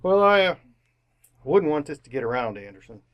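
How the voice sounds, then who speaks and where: Casting: male actor as older business bigwig, fairly high voice, pompous and avuncular, hems politely, vintage radio comedy. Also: big pitch swings, a little fast, highs cut off. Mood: sheepish, confiding. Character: genial, pompous older businessman, patronizing and a bit sheepish. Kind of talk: re-created 1950s radio sitcom acting.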